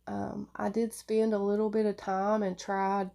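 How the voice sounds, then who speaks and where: A woman's voice: medium pitch, little pitch movement, unhurried, gravelly, dark tone. A woman with a nasal, congested voice recovering from flu, casually presenting craft products in a meandering, hesitant way. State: congested, getting over flu. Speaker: craft video podcaster. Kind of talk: casual product showcase vlog.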